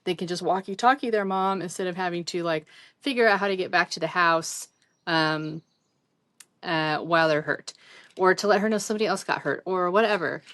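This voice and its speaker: A woman's voice: on the low side, brisk, a bit bright, no room sound. A middle-aged woman giving a casual, chatty product review in a rambling, conversational, informal way.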